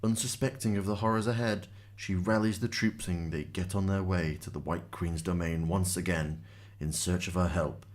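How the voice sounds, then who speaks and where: Low-voiced man as fantasy storyteller. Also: fairly even intonation, moderate pace, few pauses, lightly acted. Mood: narrating. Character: storyteller narrating a grim fantasy adventure. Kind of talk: scripted storybook narration and radio drama acting.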